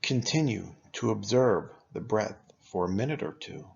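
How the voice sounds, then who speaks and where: A man leading a guided meditation as a teacher, speaking in a calm, unhurried, instructional way with long pauses. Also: on the low side, creaky, slightly rough, muffled recording.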